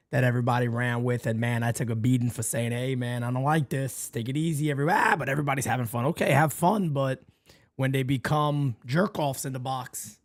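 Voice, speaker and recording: Excitable man, casual sports chat. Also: mid-range voice. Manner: animated, swings to loud excited outbursts. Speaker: podcast co-host.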